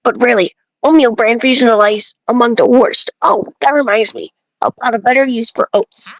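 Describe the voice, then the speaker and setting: A woman's voice: a little high, moderate pace, gravelly, no room sound, rough recording. A woman reading aloud as an opinionated, folksy male bread enthusiast who rambles and grumbles through game dialogue. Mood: grumbling, rambling.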